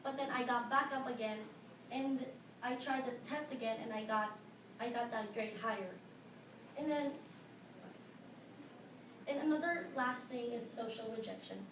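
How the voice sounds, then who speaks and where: High-voiced Filipino teen girl speaking earnestly. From Philippines. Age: teens. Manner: earnest, halting with fillers, stressing key words. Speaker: high school student speaker. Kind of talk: prepared competition speech. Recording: echoey room, mic at a distance, noisy.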